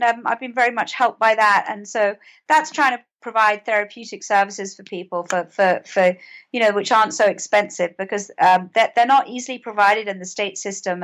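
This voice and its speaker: A woman's voice: mid-range voice, a little fast, fairly bright tone. A woman with a British accent speaking as an interview guest, earnest and conversational, often repeating words as she goes. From United Kingdom. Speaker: podcast guest, author and mental health advocate.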